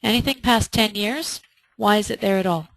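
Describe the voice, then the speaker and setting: A woman's voice: medium pitch, moderate pace, vocal fry, no echo, low-quality recording. A woman presenting a webinar as an instructor, answering questions emphatically and often posing rhetorical questions of her own. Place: indoors, online webinar.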